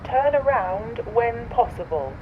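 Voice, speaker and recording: An impassive female sat-nav voiced by a woman in an audio drama. It speaks slowly and politely with a husky edge, through a muffled, narrow device sound. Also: fairly high pitch, animated, dry recording. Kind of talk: automated navigation prompt in audio drama.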